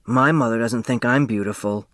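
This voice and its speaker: A man's voice: medium pitch, normal speed, fairly bright tone, no room sound, clean studio sound, close to an everyday voice. A man voicing a blunt, stubborn, self-conscious young girl in an audiobook. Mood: blunt, curious. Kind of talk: audiobook character dialogue.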